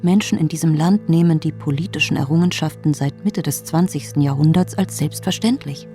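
An actress playing a solemn ghost from the postwar past in a literary radio play, speaking in a measured, grave way. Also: female voice, sits low, warm tone, lightly acted. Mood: grave, reproachful. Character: solemn ghost of 1948, lamenting forgotten ideals. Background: music.

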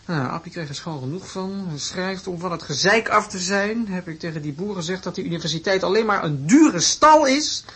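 A young male student schemer in a radio play. His voice is husky and breathy, and he talks playfully, slipping into theatrical grandeur as a would-be professor. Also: sits high, lively intonation, fairly quick, few pauses, fairly bright tone, exaggerated voice. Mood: amused.

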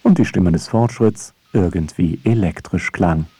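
A man with a low voice narrates a scripted radio feature. His delivery is wry and rhetorical, and music runs clearly behind him. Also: measured pace.

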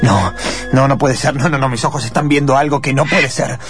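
A man's voice: medium pitch, fairly even intonation, a little fast, soft background music, close to an everyday voice. A man voicing a nervous, obsessive researcher in a radio drama, agitated and stammering, with an Argentine accent. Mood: alarmed disbelief. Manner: agitated, stammering, talking to himself.

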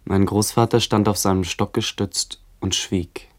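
A man's voice: lowish voice, fairly even intonation, unhurried. A man reading a scripted literary radio narration in a plain, sober way.

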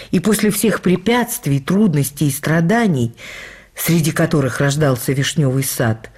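An actress playing a writer's widow, fondly reading her memoir for radio in a measured, reflective storytelling manner. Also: fairly low pitch, dark tone, natural voice. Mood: somber, reflective. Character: writer's widowed actress wife fondly recalling their life.

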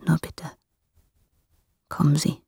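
An actress playing a tired, yearning married woman, speaking in a breathy, subdued, intimate way in a radio drama. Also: slow pace, mellow, no room sound, in a whisper, clean studio sound, lightly acted. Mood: hushed, inviting. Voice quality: breathy, husky. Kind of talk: intimate radio play acting.

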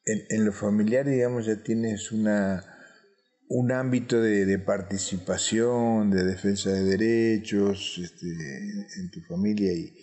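Male radio host, dark relaxed tone, lowish pitch, unhurried conversational questioning. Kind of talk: conversational interview.

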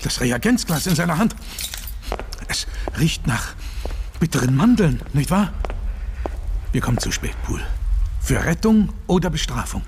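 A man acting in a radio drama as a grave, determined gentleman, earnest and commanding. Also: a little high, a little hiss or hum. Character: grave, determined gentleman, loyal friend of the doctor.

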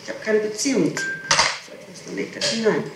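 A middle-aged woman answering questions in an interrogation, halting, repeating words and breaking off her sentences. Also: medium pitch, animated. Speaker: person questioned in a murder investigation. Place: echoey room, away from the microphone. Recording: echoey, noisy, distant 1960s tape recording.

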